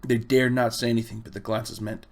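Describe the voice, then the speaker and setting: A man's voice: mid-range voice, level tone, brisk. Man narrating a storybook expressively. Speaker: audiobook reader.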